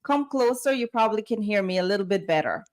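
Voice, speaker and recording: A woman's voice: mid-range voice, expressive, fast, darkish tone. A woman hosting a conversational interview. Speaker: interview host.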